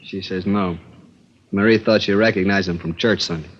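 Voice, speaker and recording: An actor playing a helpful bilingual policeman, brisk and matter-of-fact, in a 1950s radio drama. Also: low voice, steady tone. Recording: dull vintage radio recording.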